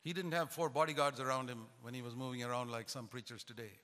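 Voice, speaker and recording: A man's voice: mid-range voice, steady tone, quick pace, bright, forceful tone, in a large hall. A man who is a pastor, preaching a sermon with emphatic, repetitive cadence.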